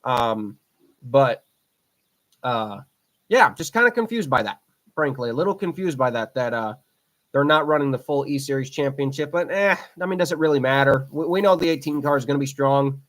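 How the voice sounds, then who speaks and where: A man's voice: mid-range voice, expressive, normal speed, fairly bright tone. A man in his twenties hosting a racing podcast solo, talking casually, rambling and repeating himself with lots of fillers. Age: 20s. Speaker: podcast host.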